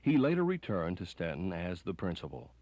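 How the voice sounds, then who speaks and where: A man's voice: low voice, wildly swooping pitch, a little fast, a little mellow, dry recording. A man reading scripted narration as the narrator of a documentary.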